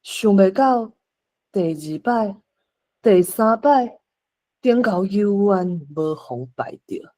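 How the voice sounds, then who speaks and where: A woman's voice: mid-range voice, expressive, slow pace, dark tone. A woman with a Taiwanese accent narrating a scripted folk tale as storyteller.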